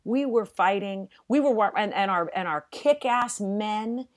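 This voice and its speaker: Woman, podcast guest, big loud voice, emphatic, salty and funny. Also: mid-range voice, unhurried. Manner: emphatic, profane and joking. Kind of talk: casual chat.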